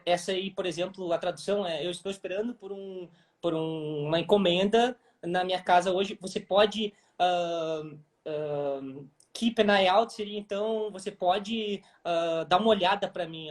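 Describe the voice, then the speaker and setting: A man's voice: high-pitched, fairly even intonation, brisk. A man from Brazil with a Brazilian Portuguese accent, hosting a bilingual English lesson with many hesitant fillers. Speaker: English teacher and host.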